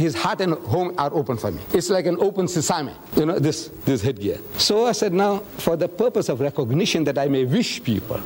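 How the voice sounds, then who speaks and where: An older man, telling chatty anecdotes to a group and acting out both sides of the dialogue. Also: fairly high pitch. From South Africa.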